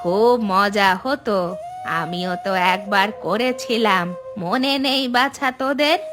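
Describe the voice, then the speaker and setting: A woman voicing a gentle, patient old village grandmother in a cartoon, speaking in a slow, soothing manner. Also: mid-range voice, over music, close to an everyday voice. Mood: reassuring.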